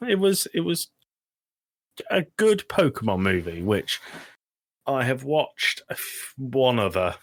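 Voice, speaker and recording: A man's voice: medium pitch, very animated, slow pace, long pauses, dry recording. A man co-hosting a podcast, giving blunt opinions in a casual, slightly hesitant chat. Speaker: podcast co-host.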